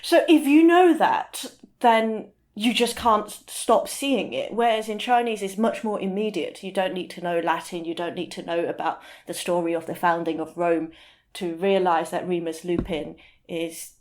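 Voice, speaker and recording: A woman's voice: fairly low pitch, a little mellow. Thoughtful woman explaining as an interview guest. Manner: thoughtful, explanatory, halting phrasing with repeated words. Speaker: guest, literary translator.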